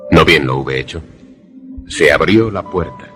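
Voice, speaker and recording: An actor playing a haunted, lovelorn former student who narrates a suspense radio drama in a solemn, measured, dramatic way. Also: male voice, a bass voice, expressive, over music. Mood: solemn, suspenseful.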